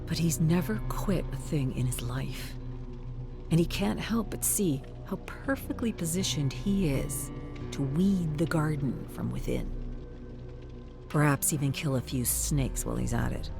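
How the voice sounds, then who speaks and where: A mid-pitched narrator delivers a scripted thriller story. The delivery is measured and suspenseful, in a dramatic audiobook style. Music plays softly behind the voice. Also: creaky.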